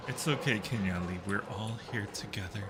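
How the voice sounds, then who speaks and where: A man's voice: lowish voice, normal speed, heavy background noise. A man hosting a casual live game stream, chatting playfully with viewers and muttering to himself in a jumpy way as he plays.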